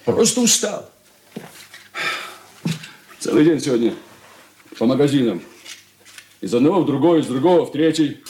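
A man's voice: medium pitch, animated, normal speed, long pauses, mellow, close to an everyday voice. An actor playing an irritable, pompous grown son who bosses his family in a 1980s Soviet radio play, in a roomy studio. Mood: weary, haughty. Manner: peevish, haughty, emphatic.

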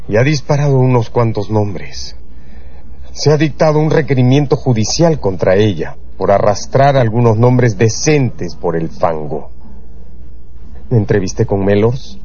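An actor playing a stiff, indignant English aristocrat and wronged husband in a radio drama, speaking in a formal, haughty manner. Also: male voice, mid-range voice, lively intonation, a little slow, mellow, close to an everyday voice. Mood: haughty indignation. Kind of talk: radio drama acting, reading letters aloud. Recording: dull radio recording with faint music behind.

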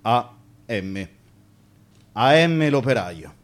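An actor with a Roman accent playing the colloquial ghost of a railway digger killed at work, in Italian radio theatre. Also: male voice, medium pitch, sing-song, extremely slow. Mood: apologetic, subdued. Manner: colloquial, plain-spoken. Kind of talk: Italian radio theatre acting in verse-like dialect dialogue.

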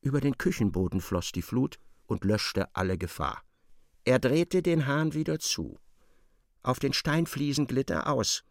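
A man's voice: medium pitch, lively intonation, unhurried, gravelly, dry recording, studio quality. A man narrating a literary radio play in a dramatic, scripted style.

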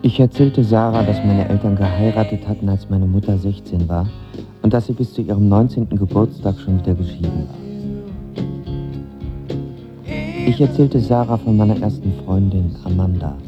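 A man's voice: on the low side, brisk, over music, close to an everyday voice. Radio drama lead: man playing a confessional, lovestruck twenty-year-old, insecure and intense, slightly muffled sound. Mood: wistful, confessional. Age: young adult. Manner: earnest, confessional. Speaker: lead actor and narrator. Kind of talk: radio drama, intimate narration and dialogue.

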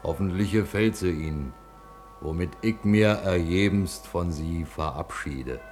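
An actor with a Berlin accent, playing a genial, folksy storyteller narrating a 1950s radio play to the listeners. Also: male voice, deep voice, measured pace, over music. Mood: genial, wry. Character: genial folksy storyteller of a German fairy tale.

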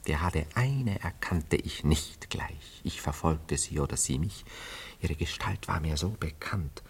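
An actor playing an evasive, sentimental young womanizer about to marry, in an animated German radio play. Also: rumbling low, vocal fry, husky, full character voice. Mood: excited reminiscing. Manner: animated storytelling, quick swings into murmurs and quotes. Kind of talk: German radio play acting, theatrical dialogue.

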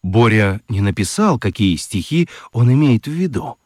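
A man narrating a children's story in an expressive, theatrical storytelling manner. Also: on the low side, moderate pace, no echo, clean studio sound.

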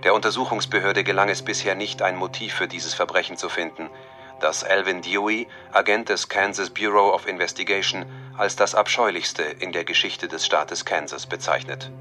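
Formal male newsreader, radio play, deep bright tone. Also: fairly even intonation, moderate pace, faint music behind. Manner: formal, official. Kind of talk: news bulletin reading.

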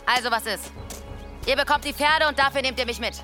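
A cheeky village girl in a fantasy radio play. Her high voice is brash and defiant, full of nerve. Music is clearly heard behind her. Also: fairly quick, pushed and bright. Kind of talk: audio drama acting.

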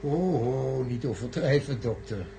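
A man's voice: medium pitch, lively intonation, measured pace, mellow. A man playing a grave, learned professor in a science-fiction radio play, formal and pedantic as he explains. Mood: polite, patronising. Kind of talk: radio drama acting. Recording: noisy old radio recording with hum.

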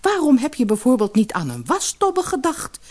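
A woman's voice: high voice, wildly swooping pitch, normal speed, darkish tone, pitched above the performer's own voice, exaggerated voice. A woman voicing a grumpy, demanding old fisherman's wife in a storybook, scolding and nagging. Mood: angry, bossy. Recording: noisy old cassette audiobook.

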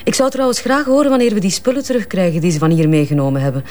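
A woman's voice: mid-range voice, steady tone, racing, warm tone, lightly acted. An actress playing a haughty, defensive advertising businesswoman with a Flemish accent, curt and evasive, in a naturalistic radio crime drama. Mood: brisk, impatient. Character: brisk, guarded, haughty businesswoman at advertising firm.

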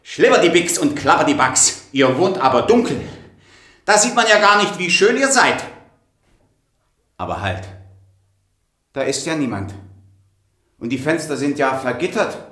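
A man's voice: mid-range voice, sing-song, unhurried, pauses often, a bit bright. A man playing a naive, eager, boyish puppet-show hero in a children's radio play, exclaiming boisterously. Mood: puzzled. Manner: exclaims boisterously with comic nonsense oaths. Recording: echoey, distant radio studio sound.

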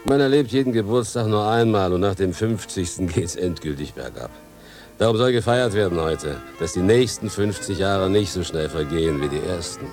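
A man's voice: sits low, expressive, normal speed, music in the background. An actor playing a wistful fifty-year-old farmer and father in a radio play, ruminative, earthy and plain-spoken. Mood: gruff, hearty. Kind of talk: German radio drama acting.

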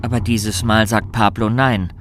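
A man's voice: lowish voice, flat delivery, measured pace, music in the background, lightly acted. A man narrating a suspense radio play as a composed storyteller. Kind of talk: scripted radio drama narration.

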